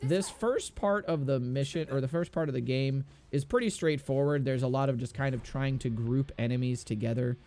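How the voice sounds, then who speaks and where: A man's voice: mid-range voice, moderate pace, hardly any pauses, dark tone. A man commentating a video game speedrun race, explaining in a laid-back way with lots of fillers.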